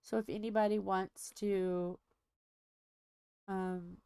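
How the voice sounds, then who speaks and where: A woman's voice: medium pitch, level tone, slow pace, dark tone, clean tone, no room sound. A middle-aged woman hosting a diamond painting livestream, chatting casually and rambling as she thinks aloud with many fillers, a little stuffy.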